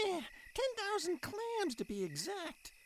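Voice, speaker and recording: A woman playing a squeaky, excitable young gang sidekick with a jeering, giggly manner in an audio drama. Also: slow, slight background noise, exaggerated voice. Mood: gleeful.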